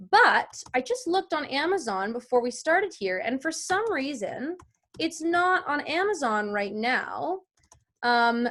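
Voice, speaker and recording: A woman with a fairly high voice leads an informal online tutorial. She is chatty and explanatory, talking straight to her students as a guest voice-over instructor. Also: fairly bright tone. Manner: chatty, explanatory, addresses the group directly. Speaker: guest voice-over artist teaching a class.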